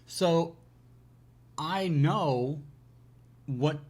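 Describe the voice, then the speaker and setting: A man co-hosting an educational show, talking conversationally in measured, halting phrases with pauses mid-sentence. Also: a little high, darkish tone. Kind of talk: conversational advice and personal anecdotes.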